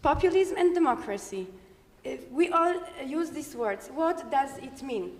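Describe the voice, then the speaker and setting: A woman from the audience, speaking English as a philosopher at a conference, direct and insistent, often pausing with fillers. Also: high-pitched, animated, in a large hall. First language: Polish. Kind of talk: conference floor remarks. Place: conference hall.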